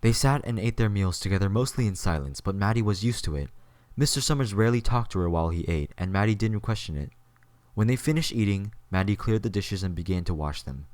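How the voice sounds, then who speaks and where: Deep-voiced man narrating, very dry sound. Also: expressive, fairly quick, darkish tone, no echo. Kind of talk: scripted story narration.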